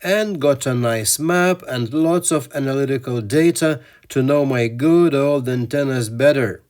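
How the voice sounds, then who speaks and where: A man giving casual instructional talk as a hobby video host, in a halting way with repeated words. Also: mid-range voice, darkish tone. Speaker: amateur radio video host.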